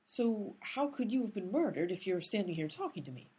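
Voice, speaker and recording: A woman playing a breathy, exasperated sleuth. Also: mid-range voice, big pitch swings, brisk, dark tone, poor audio. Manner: exasperated and bossy. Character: exasperated female amateur sleuth, brisk and bossy. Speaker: lead character, amateur sleuth. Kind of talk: comic radio play acting.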